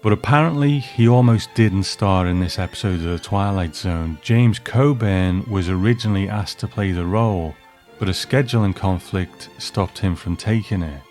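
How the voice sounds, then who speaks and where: A man's voice: sits low, normal speed, a little mellow, faint music behind. A man hosting a review, narrating from a script. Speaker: host.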